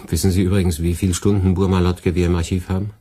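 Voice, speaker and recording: An ironic, lecturing boss, very deep, dark, husky; radio play. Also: male voice, little pitch movement, fast. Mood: sardonic. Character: sardonic middle-aged male boss, lecturing. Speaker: department head.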